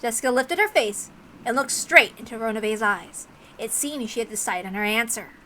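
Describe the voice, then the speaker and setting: A woman's voice: on the high side, normal speed, bright, forceful tone, a little hiss or hum, low-quality recording. A woman reading a visual novel aloud as narrator, in a dramatic scripted storytelling style. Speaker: narrator and reader.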